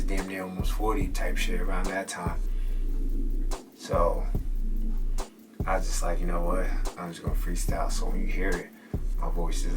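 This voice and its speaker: A man's voice: low voice, far from the mic. Casual young man telling a studio story over music. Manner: loose and profane, acts out his own past remarks, drops to a whisper at times. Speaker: rapper explaining how he made a track. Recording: voice from off-mic with music playing behind.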